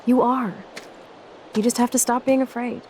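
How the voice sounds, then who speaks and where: A woman's voice: fairly high pitch, little pitch movement, moderate pace, darkish tone, slight background noise, natural voice. An actress voicing a brash, sarcastic, loyal young punk woman in a video game, with a blunt, slangy way of talking. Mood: teasing, encouraging. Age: young adult. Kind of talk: naturalistic video game acting.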